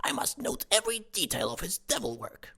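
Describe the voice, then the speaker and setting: A woman playing a pompous, sanctimonious elderly aunt in a comedy audio drama, husky and scandalised. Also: on the low side, sing-song, a little fast, sharp tone, heavily acted. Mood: outraged. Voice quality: gravelly, husky. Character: indignant, pious elderly aunt, sanctimonious village busybody. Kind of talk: hobby comedy murder-mystery audio drama.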